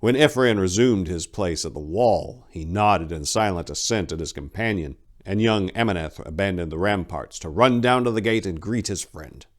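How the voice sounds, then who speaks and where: Deep-voiced man, theatrical narrator. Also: animated. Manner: theatrical, deliberate. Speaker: channel host and story narrator. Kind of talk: dramatic scripted narration.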